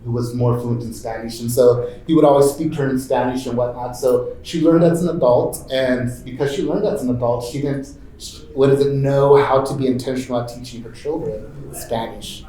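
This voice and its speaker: A man's voice: medium pitch, steady tone, mellow, a little hiss or hum. Guy moderating a panel, lots of ums and restarts, echoey room. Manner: halting, full of fillers like "um" and "what is it". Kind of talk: casual conference talk. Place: conference room.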